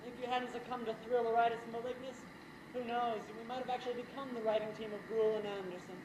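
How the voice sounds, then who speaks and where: A man's voice: extremely high pitch, level tone, fast. Suave, wry male schemer for a stage thriller, played by a man, middle-aged, murderous and polite, on a noisy, echoey recording. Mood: coolly menacing. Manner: dryly sardonic, hesitant polite phrasing. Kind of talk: theatrical stage thriller acting.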